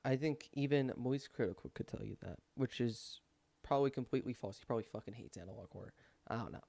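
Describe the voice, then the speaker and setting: A man's voice: mid-range voice, vocal fry, warm tone, dry recording. A man giving casual, rambling opinion commentary in informal language with some swearing. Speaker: video creator.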